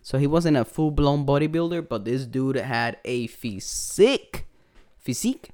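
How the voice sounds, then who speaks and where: Rambling Puerto Rican man, smooth voice. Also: medium pitch, expressive, measured pace, darkish tone. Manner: rambling, profane, with many fillers. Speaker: podcast host. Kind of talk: casual podcast intro.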